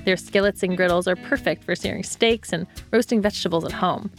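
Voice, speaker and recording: Woman reading a scripted sponsor message. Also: medium pitch. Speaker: podcast sponsor announcer.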